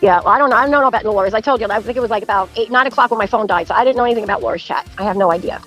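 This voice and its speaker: A woman's voice: a little high, racing, fairly bright tone, faint music behind, low-quality recording. A woman in an informal argument, sounding defensive and combative and cursing freely.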